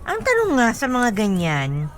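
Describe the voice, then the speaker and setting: Filipino woman, radio co-host type: highly animated intonation, playful teasing banter, faint noise behind the voice. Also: measured pace. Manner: teasing, jokey, with frequent agreeing interjections. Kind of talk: casual bantering chat.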